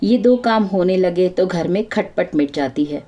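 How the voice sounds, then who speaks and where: Mellow-voiced woman storyteller. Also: lowish voice, animated, a little slow. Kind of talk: scripted moral folk tale narration.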